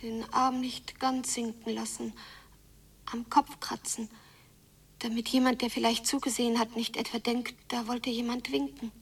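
A hushed inner voice in a radio play, heard quietly from a distance. She is breathy and half-whispering, with a flat, rather high murmur. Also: moderate pace. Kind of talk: radio drama interjections.

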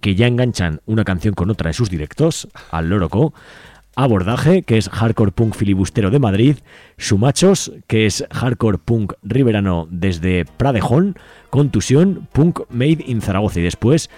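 A man's voice: fairly low pitch, expressive, moderate pace, dark tone, no echo. A man from Spain, reading out events as a radio co-host in an informal, wry, casual way.

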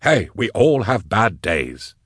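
A man's voice: lowish voice, very animated, slow pace, fairly bright tone, dry recording, studio quality, heavily acted. A man voicing a jovial, boastful male comrade in an audiobook, breezy and given to exclaiming. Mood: breezy, coaxing. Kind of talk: audiobook character acting.

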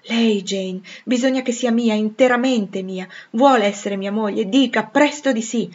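A woman voicing a passionate, tormented gentleman in love, commanding and imploring, in a dramatic audiobook. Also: a little high, normal speed, highs cut off, pitched above the performer's own voice, natural voice. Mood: urgent pleading. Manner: urgent, imploring, emphatic. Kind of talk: dramatic audiobook character dialogue.

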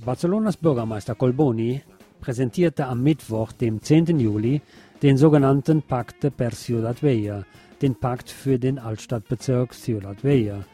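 Mellow-voiced man reading scripted news. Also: mid-range voice, slightly rough. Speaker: radio news presenter.

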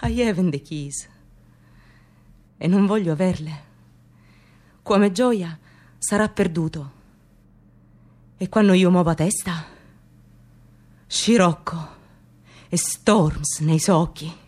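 A woman playing a lonely, brooding lover left waiting, in a theatrical radio monologue of short, deliberate phrases. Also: fairly low pitch, a little mellow. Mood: bitter, resolute.

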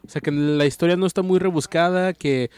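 A man's voice: on the high side, fairly even intonation, a little mellow, smooth, dry recording. Man with a dry, close voice. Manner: searching for words, halting restarts. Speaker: book club podcast co-host. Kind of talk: casual literary discussion.

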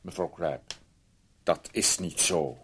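A man's voice: sits low, slow, vocal fry, exaggerated voice. Een man die in een hoorspel een milde, aarzelende heer speelt die ruzie probeert te sussen. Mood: uneasy soothing. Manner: hesitant, soothing. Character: mild, placating gentleman who avoids quarrels. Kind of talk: Dutch radio play acting. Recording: old radio recording with faint noise.

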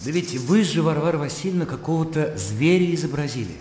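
An actor playing an educated, reserved gentleman in a Russian radio play, polite and ironic, with a didactic turn. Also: fairly high pitch, expressive, fairly quick, a little hiss or hum, natural voice. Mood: argumentative. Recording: echoey reverberant sound, clear cricket ambience.

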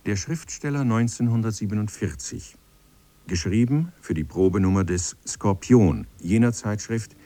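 A man narrating a scripted radio feature in a measured, precise, literary manner, close to a studio microphone. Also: deep voice, dark tone, a little hiss or hum.

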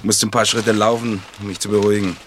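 A man plays a young husband in an old radio crime play, defensive and quick to bristle when pressed. His voice sits fairly low, with a faint hiss behind it. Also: level tone, close to an everyday voice. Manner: defensive, irritable. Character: defensive, irritable young husband under suspicion. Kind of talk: vintage radio play acting.

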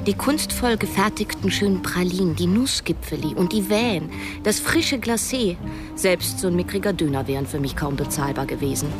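A woman's voice: fairly low pitch, lightly acted. An actress in her early thirties playing a broke, self-doubting young woman, narrating a radio drama in a reflective, literary way. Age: early 30s. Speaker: narrator and lead character. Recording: music behind the voice.

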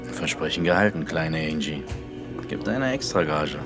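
An actor playing a seedy, impatient, bossy bar client with a commanding, menacing manner in a radio drama. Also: male voice, a bass voice, animated, normal speed. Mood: smug, pushy. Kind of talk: German radio drama acting. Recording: music behind the voice. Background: loud music.

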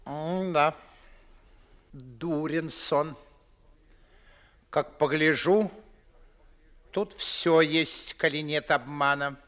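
A man's voice: fairly high pitch, very slow, pauses often, a bit bright. An actor playing a pompous, grumbling middle-aged nobleman and father, declaiming verse sarcastically in 1950s theatrical radio style. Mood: patronising.

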